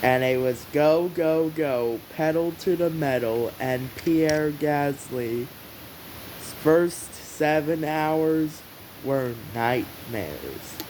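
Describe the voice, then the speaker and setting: Very slow, halting storyteller with hissy sound. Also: medium pitch, a little mellow. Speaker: story narrator. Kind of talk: scripted story narration, read aloud slowly with pauses. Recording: hissy, noisy home recording.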